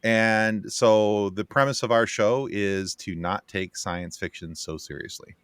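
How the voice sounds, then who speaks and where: A man's voice: lowish voice, fairly even intonation, a little slow, fairly bright tone, smooth, dry recording. A man who co-hosts a podcast, chatting casually in a joking, bantering way. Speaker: podcast co-host appearing as guest. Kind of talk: casual chat.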